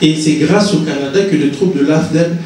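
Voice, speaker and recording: A man's voice: fairly high pitch, moderate pace, darkish tone, a little hiss or hum. A Congolese-born man giving an earnest public talk as an invited author, often restarting his words. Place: conference hall. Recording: echoey hall, distant mic.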